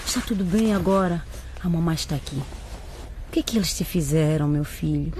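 A woman plays a soothing mother in a radio drama, tender and hushing. Her voice is a little low, over faint ambient noise. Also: normal speed, natural voice. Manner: hushing, comforting.

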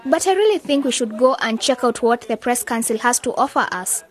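A young woman student in a radio drama, played by an actress, with a high voice. She is level-headed and persuasive, reasoning with her friends in scripted dialogue. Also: animated, a little fast, close to an everyday voice. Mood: earnest, persuasive. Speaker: actor in an educational radio drama.

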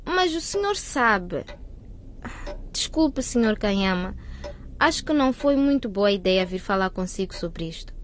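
A woman's voice: mid-range voice, big pitch swings, unhurried, a little hiss or hum. An actress playing a young girlfriend in a radio soap, polite and hesitant yet firm. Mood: uneasy, reserved. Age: young adult. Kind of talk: radio soap opera acting.

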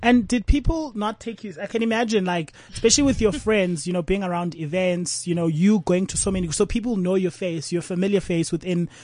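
High-pitched man hosting, dry close sound, upbeat and coaxing. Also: fairly quick, few pauses, darkish tone, dry recording. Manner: hyped, encouraging questioner. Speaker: host. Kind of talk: casual interview.

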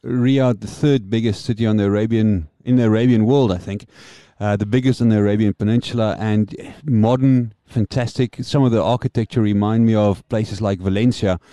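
A man from South Africa with a South African accent, co-hosting a podcast and explaining things in a measured way. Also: fairly low pitch, fairly even intonation, mellow, no room sound, studio quality. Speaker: podcast co-host, motorsport pundit. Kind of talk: casual analytical chat.

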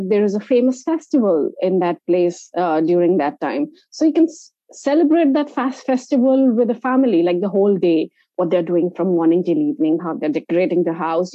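A woman with an Indian accent giving explanatory, halting conversational answers as an interview guest. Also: mid-range voice, mellow, dry recording, muffled recording. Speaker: interview guest, inclusive travel organiser.

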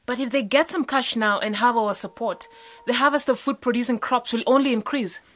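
Casting: woman playing guarded young female co-op member, fairly high voice, firm and pointed, radio play. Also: fairly quick, lightly acted. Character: serious, guarded young woman in village cooperative. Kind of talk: radio drama acting.

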